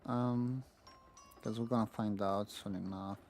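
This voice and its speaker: A woman giving casual, low-key gameplay commentary, muttering her reactions as she plays. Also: steady tone, measured pace, darkish tone, a little hiss or hum. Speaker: gaming content creator.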